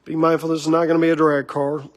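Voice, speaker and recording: A hoarse man with a fairly high voice chats his way through a car build in a workshop. He rambles with plenty of fillers and gets excited about the parts. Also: fast. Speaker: shop owner showing a car build. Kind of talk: casual shop walkaround talk.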